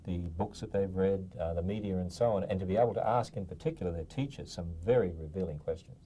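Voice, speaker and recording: Low, dark-voiced male TV guest, talks fast, earnest. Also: slight background noise. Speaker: TV guest speaker. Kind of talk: scripted TV conversation.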